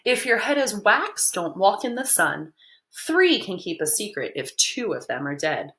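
A woman's voice: medium pitch, very animated, measured pace, pushed and bright, exaggerated voice. A woman voicing a clever, self-satisfied colonial man handing out proverbs emphatically in a storybook. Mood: smug advising.

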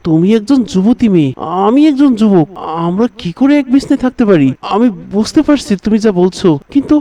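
A man's voice: sits high, lively intonation, fairly quick, dark tone. An actor playing a pious, anguished young man in a moral cartoon, pleading in a devout, emphatic way. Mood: alarmed, firm. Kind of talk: moral cartoon melodrama, prayer.

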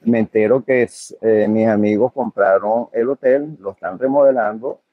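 Older man, low husky voice. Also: fairly even intonation, moderate pace, no echo, highs cut off. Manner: hesitant, full of fillers and restarts. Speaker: hotel owner and manager. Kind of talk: conversational interview answers.

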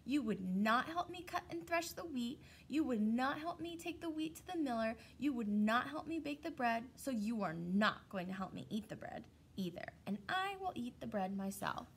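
A woman's voice: on the high side, animated, normal speed, hardly any pauses, slight background noise. Storybook little red hen, firm and sassy, read by a woman teacher.

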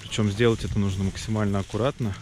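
A man's voice: fairly low pitch, fairly even intonation, quick pace, music in the background. A man in his thirties narrating a travel vlog on location, speaking into a handheld camera. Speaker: travel vlogger.

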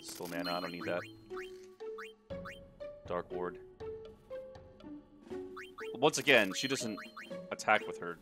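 A man's voice: sits low, slow, long pauses, over loud music. A man hosting a let's play, giving casual, rambling commentary with jokes and swearing.

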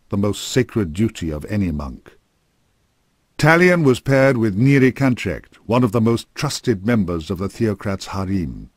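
Low-voiced man, solemn fantasy narrator. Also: no echo, studio quality. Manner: solemn, formal storytelling. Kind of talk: scripted fantasy game narration. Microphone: close studio microphone.

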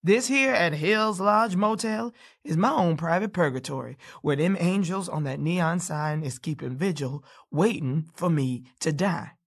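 A gruff, bitter recluse. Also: female voice, on the low side, measured pace. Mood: bitter, menacing. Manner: curt, folksy nonstandard speech, needling. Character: gruff, bitter, hard-drinking reclusive motel woman, blunt and scornful. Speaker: actor in an audio play. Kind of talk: audio drama acting of a stage play.

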